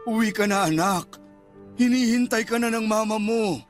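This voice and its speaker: A man's voice: high voice, normal speed, over loud music, close to an everyday voice. An actor playing an anxious, remorseful older father with a Filipino accent, earnest and pleading, in a radio drama. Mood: pleading, alarmed.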